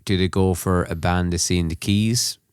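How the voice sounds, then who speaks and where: A man's voice: deep voice, steady tone, moderate pace, clear, resonant tone, no echo, clean studio sound. An Irish man hosting an interview in a casual, conversational way. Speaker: show host, interviewer. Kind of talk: casual chat.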